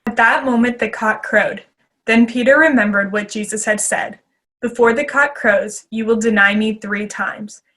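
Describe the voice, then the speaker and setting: A woman narrator giving a measured, formal reading of scripture for readers theater. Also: fairly high pitch, steady tone, a bit bright.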